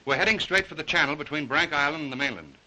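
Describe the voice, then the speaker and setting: An actor playing an earnest, cautious ship's captain in 1930s film style, worried and deferential. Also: male voice, fairly high pitch, fast, sharp tone, rough recording.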